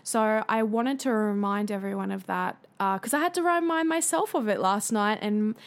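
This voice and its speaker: A woman hosting her own podcast in casual solo chat, her voice a little raspy from singing, talking in a chatty, confiding way with fillers. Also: medium pitch, normal speed. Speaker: podcast host.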